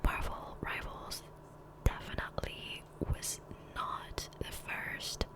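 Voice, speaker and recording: A woman whispering softly and breathily in a chatty, rambling ASMR style over gameplay, close to a condenser microphone. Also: takes it slowly. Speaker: gaming ASMR creator. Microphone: close USB condenser microphone.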